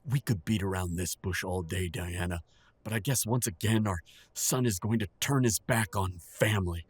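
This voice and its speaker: A man's voice: low voice, normal speed, breathy edge, no echo. A man playing a stern middle-aged father in an audio drama, accusing and self-righteous toward his grown son. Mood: stern, resentful. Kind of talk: audio roleplay drama acting.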